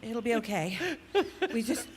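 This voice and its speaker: A woman's voice: on the high side, steady tone, unhurried, natural voice. A woman playing a frightened wife pleading with her husband in a live horror radio play. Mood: pleading, distraught. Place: live show at a recreation hall. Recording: very noisy live stage recording.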